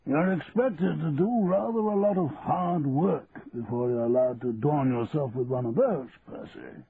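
A man voicing a stately English king in an audiobook, formal, measured and drily reproving. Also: on the high side, vocal fry, pitched above the performer's own voice. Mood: dryly reproving. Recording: muffled narrow-band audio with hiss.

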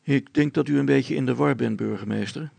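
A man voicing a calm helicopter pilot for a storybook, polite, patient and gently ironic. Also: medium pitch, brisk, gravelly, breathy edge, no echo. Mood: friendly, explaining. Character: calm, courteous, cheeky male helicopter pilot.

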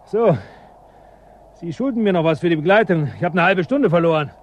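An actor in a German radio drama playing a gruff, mocking guide at an ice frontier, talking bluntly and sardonically. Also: male voice, high voice, fairly quick, lo-fi sound, close to an everyday voice. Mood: dry. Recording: noisy early-1980s radio drama with ambience.

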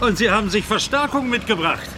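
An actor playing an older, falsely jovial police chief in a German radio crime drama, effusive in manner, outdoors. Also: male voice, sits high, expressive, a little fast, noisy background.

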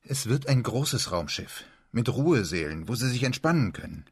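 A man's voice: mid-range voice, big pitch swings, moderate pace, breathy edge, exaggerated voice. An actor playing a determined, stubborn male space engineer in a radio play, speaking matter-of-factly and insistently. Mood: defensive, insistent. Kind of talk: German radio play acting.

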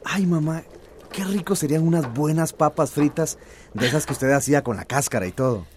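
A man's voice: fairly high pitch, brisk, slight background noise, lightly acted. A man playing an earnest, indignant middle-aged villager and grieving father in a radio drama. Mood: wistful. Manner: earnest, aggrieved, plain-spoken.

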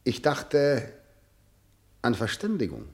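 An actor playing a stiff, authoritative senior prosecutor in a German radio play, speaking in a formal, measured, officious way. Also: male voice, mid-range voice, huge pitch swings, full character voice. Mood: measured, insistent.

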